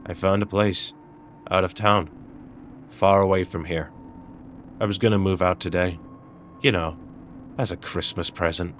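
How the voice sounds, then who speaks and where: A man playing a weary, conflict-averse young friend in a drama, speaking in a subdued, halting, apologetic but firm way. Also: low-pitched, steady tone, fairly bright tone, slight background noise. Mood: reluctant. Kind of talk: dramatic dialogue acting.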